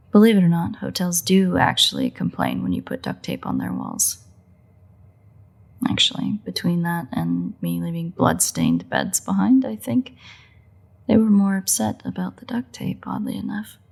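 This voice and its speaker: A woman's voice: fairly low pitch, wildly swooping pitch, a little slow, creaky, exaggerated voice. A woman voicing a playful, cocky vampire in an intimate audio roleplay, drawling and teasing with wry sighs. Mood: dry, grumbling.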